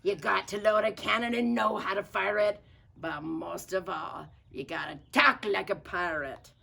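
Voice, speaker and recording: Woman as a gravelly, blustering captain. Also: medium pitch, steady tone, measured pace, full character voice. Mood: commanding. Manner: shouting, declaiming in pirate slang. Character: blustery, impatient male pirate captain, bossy and demanding. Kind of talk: children's storybook read-aloud.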